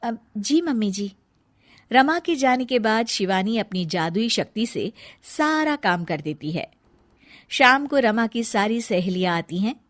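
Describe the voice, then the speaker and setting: A woman narrating a cartoon story in a calm, scripted storytelling manner. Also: a little high, measured pace, no room sound, studio quality.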